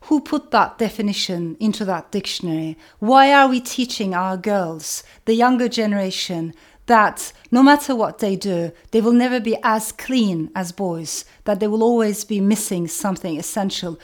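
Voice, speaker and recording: A middle-aged woman novelist, Turkish as her first language, speaking in a measured, earnest way, repeating phrases for emphasis. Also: medium pitch. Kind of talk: reflective talk to camera.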